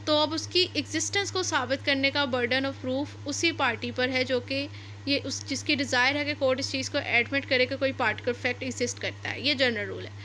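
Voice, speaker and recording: A woman's voice: high voice, fairly quick, pushed and bright, slight background noise. A woman teaching law, reading passages aloud and then explaining them step by step, repeating and rephrasing her points. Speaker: law lecturer.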